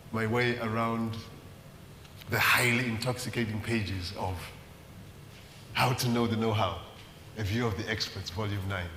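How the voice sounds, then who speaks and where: Slow, bright-voiced man reciting poetry. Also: medium pitch. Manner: deliberate, rhythmic, wordplay-driven delivery with dramatic emphasis. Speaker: poet. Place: large reverberant hall at a live event. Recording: echoey hall, faint background noise.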